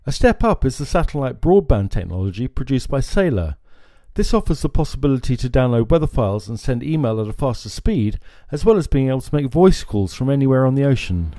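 A man, presenting marine navigation equipment in a small showroom in a steady, informative way on a lapel mic. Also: mid-range voice, a little fast. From France. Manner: steady, informative, gestures at screens. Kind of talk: scripted product explainer.

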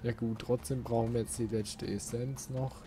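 A man's voice: medium pitch, fairly even intonation, normal speed, background noise. A man giving casual, grumbling let's play commentary as he plays a video game. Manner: grumbling, mild swearing at the game.

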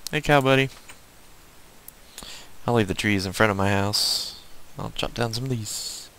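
A man's voice: fairly low pitch, measured pace, fairly bright tone, slight background noise. Southern US man rambling through gameplay. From southern United States. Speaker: gaming video host. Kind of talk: casual unscripted gameplay commentary.

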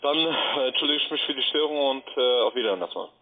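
A man's voice: mid-range voice, fast. A man making a phone sales call as a call centre agent, formal and insistent. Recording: tinny narrow phone line.

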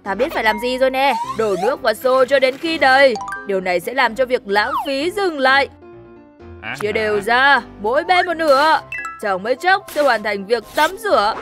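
Woman playing a lively cartoon lion parent, expressive, sing-song, rhyming kids' storytelling, music behind. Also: high-pitched, normal speed. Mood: busy, cheerful. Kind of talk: children's cartoon dub, rhyming narration.

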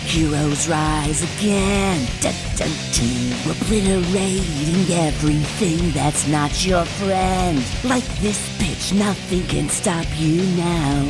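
Female singer, low and very slow, parody song style, prominent plucked guitar. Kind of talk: comic parody singing with guitar.

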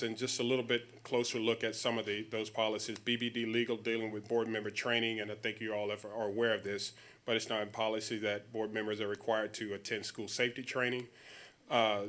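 A man presenting as a school district administrator at a board meeting, speaking in a measured, procedural way. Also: on the low side, a bit bright. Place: public board meeting room.

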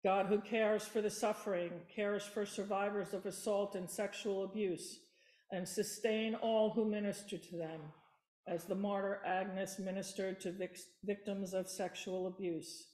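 Woman, prayer reader, flat even voice, church lectern, echoey room. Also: medium pitch. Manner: slow, even reading with a stumble now and then. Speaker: worship leader. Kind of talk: reading intercessory prayers.

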